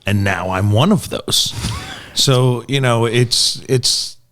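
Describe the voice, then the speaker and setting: A middle-aged man in casual podcast conversation, reflecting in a halting, folksy way. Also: mid-range voice, a bit bright. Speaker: podcast panelist. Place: small podcast room indoors at a table. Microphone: podcast microphone on a stand.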